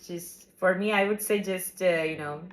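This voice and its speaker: A woman's voice: fairly low pitch, normal speed. A woman co-hosting a casual podcast chat, talkative and filling her talk with "like". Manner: chatty, says "like" often, laughs easily. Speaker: podcast co-host.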